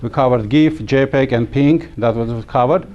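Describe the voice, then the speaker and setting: Halting middle-aged man teaching a class. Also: mid-range voice, a little mellow, dull audio. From Armenia. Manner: halting, many fillers and restarts. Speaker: instructor. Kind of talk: classroom lecture. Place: small classroom.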